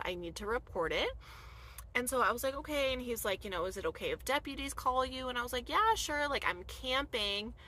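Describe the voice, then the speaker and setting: Chatty bright-voiced American woman. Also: mid-range voice. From United States. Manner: chatty, animated, many "like" fillers. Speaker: vlogger. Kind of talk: casual storytime. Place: inside a dark camper van at night.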